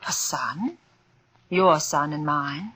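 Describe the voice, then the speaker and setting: An actress playing a proud, scheming, frightened fake medium in an intense, emphatic old-time radio suspense style. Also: female voice, sits low, lively intonation, slow pace, pushed and bright, breathy edge, full character voice. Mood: guarded, soothing. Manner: intense, melodramatic, emphatic repetition. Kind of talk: 1940s-50s radio suspense drama acting. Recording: old radio recording with music.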